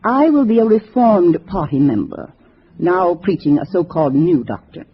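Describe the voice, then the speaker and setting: A woman's voice: lowish voice, lively intonation, normal speed, husky. Gray-haired female party boss: didactic, assured, scheming, older, on a dull vintage radio drama recording. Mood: commanding. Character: gray-haired female communist organizer, sweet-faced, calculating, commanding. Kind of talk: 1950s radio drama acting. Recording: muffled vintage radio recording, faint hiss.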